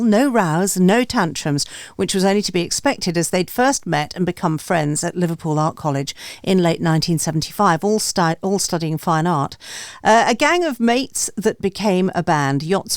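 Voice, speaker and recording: A woman hosting a music review show, introducing tracks and band histories in a halting, conversational way. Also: fairly low pitch, no room sound, clean studio sound. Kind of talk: hosting and introducing songs, reading band backstory.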